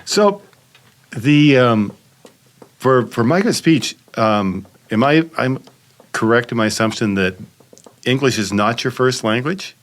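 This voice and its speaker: Man asking halting questions. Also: medium pitch, lively intonation. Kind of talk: interview questions.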